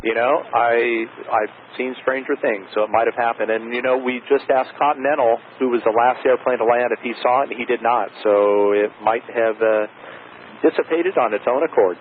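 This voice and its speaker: A man's voice: mid-range voice, fairly even intonation, normal speed. A man working as an airport tower controller, giving procedural instructions with casual asides over aviation radio. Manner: procedural phrasing mixed with casual, hesitant asides. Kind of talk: air traffic radio instructions. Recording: narrow, tinny aviation radio with faint static.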